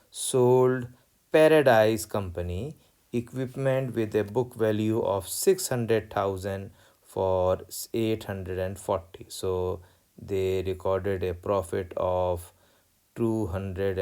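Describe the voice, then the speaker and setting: A man lecturing on accounting, explaining worked exercises step by step in a deliberate way and repeating key points. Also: fairly low pitch, mellow. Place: voice-over recorded over tablet screen.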